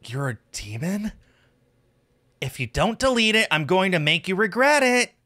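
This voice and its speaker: A man voicing an angry young female demon girl in a visual novel, forceful and threatening. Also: high voice, sing-song, unhurried, pitched above the performer's own voice, heavily acted.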